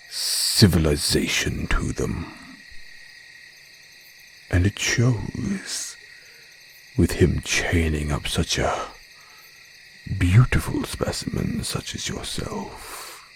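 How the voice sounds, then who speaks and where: Man voicing a very slow, deep, haughty werebear. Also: slight background noise, full character voice. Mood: contemptuous. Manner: slow, drawn-out, genteel and condescending. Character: smooth, haughty male werebear, courteous yet predatory flirt. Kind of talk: audio roleplay monologue addressed to listener.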